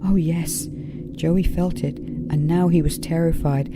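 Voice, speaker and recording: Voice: mid-range voice, steady tone, normal speed, noisy background. A narrator reading a children's story aloud from a script.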